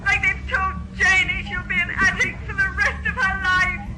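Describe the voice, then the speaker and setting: An actress playing a bitter, sarcastic ex-wife in a radio drama. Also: female voice, extremely high pitch, flat delivery, moderate pace, over loud music, over a noisy crowd, rough recording. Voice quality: cutting, sarcastic. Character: bitter, scornful ex-wife woken at night.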